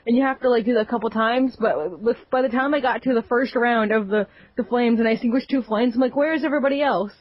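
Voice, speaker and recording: A woman's voice: a little high, quick pace, hardly any pauses, dry recording. A woman co-hosting a casual gaming podcast, rambling with many fillers. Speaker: podcast co-host. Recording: dull lo-fi sound.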